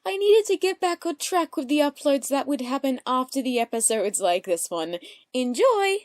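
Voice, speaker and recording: Lively young Australian woman. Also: sits high, normal speed, no room sound, studio quality. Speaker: audio drama creator and narrator. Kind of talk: casual explanatory commentary.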